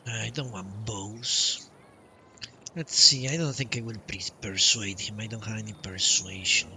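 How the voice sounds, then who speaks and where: A man's voice: fairly low pitch, unhurried, pushed and bright, slight background noise. A man streaming a game live, giving blunt, casual commentary with some swearing. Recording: faint game music behind voice. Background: game music.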